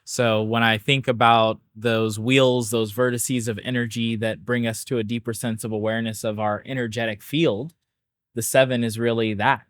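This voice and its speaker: A man's voice: mid-range voice, little pitch movement, normal speed, no room sound, studio quality. Laid-back man, guest on a podcast. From Barbados and San Diego. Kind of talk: casual interview chat.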